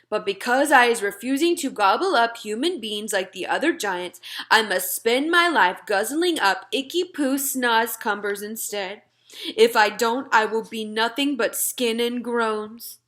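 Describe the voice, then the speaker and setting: A woman's voice: on the high side, a little slow, fairly bright tone. A woman voicing a very old friendly giant in a children's storybook, declaiming theatrically and indignantly in garbled grammar. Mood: disgusted. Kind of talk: children's storybook read-aloud.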